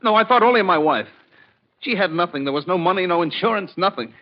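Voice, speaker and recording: A desperate, high-voiced doomed killer. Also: male voice, lively intonation, quick pace. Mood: desperate, pleading. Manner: anguished, pleading, melodramatic. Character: desperate, defiant doomed man turned killer thief. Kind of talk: 1940s radio acting. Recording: dull, lo-fi old radio recording.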